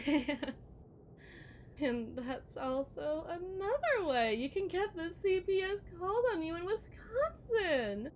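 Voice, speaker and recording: A woman voicing a possessive, unhinged kidnapper wife in an ASMR roleplay monologue, theatrical and taunting, swinging between hushed and shrill. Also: slight background noise, full character voice. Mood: mocking, giddy.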